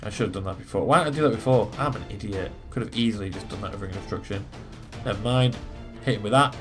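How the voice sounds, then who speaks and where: A man's voice: lowish voice, fast. A man giving casual let's play commentary, swearing freely and thinking his moves through aloud. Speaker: gaming video host. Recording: game music behind the voice. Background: video game music.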